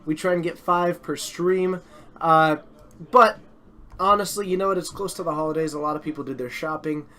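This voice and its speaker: A man hosting and commentating a live card game stream, chatty and self-deprecating, while slowly losing his voice. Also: on the high side, bright, forceful tone.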